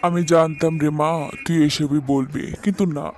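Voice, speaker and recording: A man's voice: a little high, fairly even intonation, normal speed, a little hiss or hum, close to an everyday voice. A man voicing a kindly, weary middle-aged father in a cartoon fairy tale, affectionate and plaintive.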